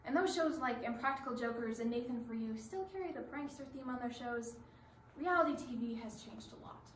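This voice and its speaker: A woman's voice: fairly high pitch, fairly quick, lightly acted. A woman performing in a readers theatre piece with rehearsed, theatrical delivery. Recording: roomy stage recording with background hiss.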